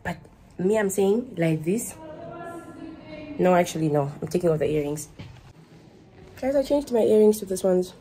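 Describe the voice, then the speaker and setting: A woman's voice: on the low side, expressive, normal speed, long pauses, mellow, a little hiss or hum, poor audio. A young woman from Namibia talking casually to her viewers in a travel vlog, chatty and full of fillers. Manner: chatty, filler-heavy, addresses viewers directly. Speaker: vlogger.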